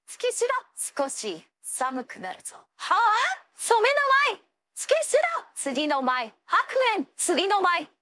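A proud young ice-wielding swordswoman from an anime fighting game. Her voice sits high for a female. She phrases everything in an old-fashioned, imperious way, stern and commanding. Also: big pitch swings, takes it slowly, fairly bright tone, no room sound, exaggerated voice. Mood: fierce battle cries. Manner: archaic, haughty, commanding phrasing.

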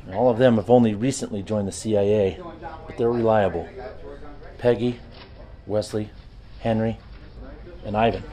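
An actor playing a brisk, authoritative intelligence chief briefing his team in a video game cutscene, businesslike and commanding. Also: fairly low pitch, fairly even intonation, warm tone, lightly acted. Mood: collegial, welcoming.

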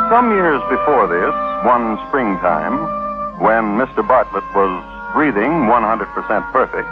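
A wry, morbid crime-story host, played by a man, narrates deliberately with deadpan wit and a lively melodic range. The sound is thin, narrow old radio with music underneath. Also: mid-range voice, close to an everyday voice. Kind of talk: 1950s radio scripted narration. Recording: tinny vintage 1950s radio broadcast.

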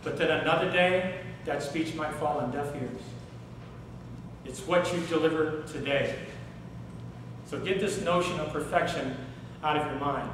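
A middle-aged man giving a workshop talk on public speaking, animated and asking the audience questions in a hotel conference room. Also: on the high side, unhurried, background noise. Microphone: far camera, no mic seen. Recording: echoey room recorded from far off.

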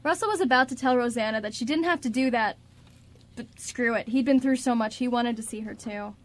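Female narrator, fairly high voice, scripted storytelling, radio drama. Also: brisk.

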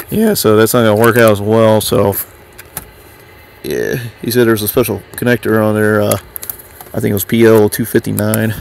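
A man's voice: lowish voice, gravelly. Man vlogging casually, noisy vehicle background. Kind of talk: casual off-the-cuff show-and-tell. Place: in a parked car and just outside it. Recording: noisy handheld recording in and around vehicle.

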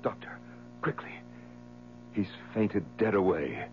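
An actor playing a sober, consoling friend with measured delivery in a 1970s radio drama. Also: male voice, low-pitched, big pitch swings, full character voice. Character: steady, consoling male friend of the mourner. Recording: muffled old radio recording, mains hum.

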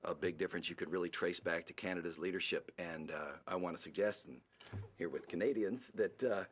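A man, an advocacy group's CEO, giving a formal policy speech at a report launch, earnest and halting with many restarts. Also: low-pitched.

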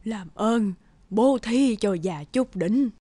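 An actress playing a humble old beggar woman in an animated folk tale, speaking slowly and haltingly. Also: medium pitch, soft background music. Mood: weak pleading. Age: older. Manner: slow, pleading, halting. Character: frail, humble old beggar woman, secretly wise spirit.

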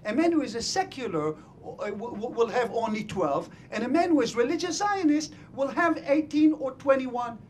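A middle-aged man with an Israeli accent argues his view emphatically into a handheld mic in a conference room. He sounds opinionated and unscripted, with a faint rumble behind him. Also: expressive, moderate pace, hardly any pauses. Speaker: audience member and scholar commenting at a panel. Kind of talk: impromptu argumentative comment at an academic discussion. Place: conference room full of seated audience. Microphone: handheld microphone.

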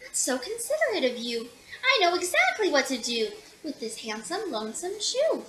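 Young woman, picture-book storyteller, expressive, very lively pitch, bright tone. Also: moderate pace, low-quality recording. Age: young adult. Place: small room indoors.

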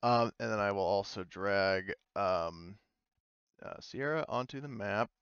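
A man running a tabletop roleplaying game as game master, narrating informally and haltingly and speaking for characters in his own voice. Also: on the low side, fairly bright tone, clean tone, no room sound, lo-fi sound.

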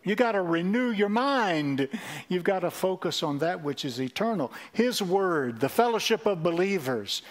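Older pastor preaching from a lectern. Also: male voice, high-pitched, animated, normal speed. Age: 60s. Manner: earnest preaching with wide gestures and repeated phrases. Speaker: senior pastor. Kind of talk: sermon. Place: church stage with a lectern. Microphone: headset mic.

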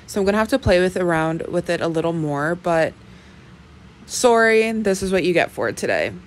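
A woman's voice: lowish voice, lively intonation, a little fast, slight background noise. A young woman in casual vlog talk, chatty and self-deprecating, narrating her riding over voiceover. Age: young adult. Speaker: equestrian vlogger. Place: indoor riding arena and barn, some outdoors.

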